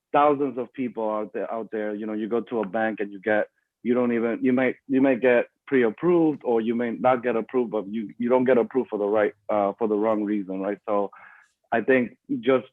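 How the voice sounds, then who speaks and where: A middle-aged man from the Bronx talks in a low voice, hesitating often and restarting his sentences. His voice comes over a dry, tinny, phone-like video call line. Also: no room sound. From Bronx, New York. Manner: hesitant, many fillers and restarts. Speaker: guest speaker. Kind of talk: casual online talk.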